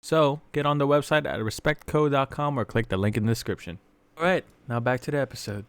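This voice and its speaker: A man's voice: medium pitch, a little fast, smooth, no room sound. A man delivering a scripted, playful sponsor read for a podcast in informal language.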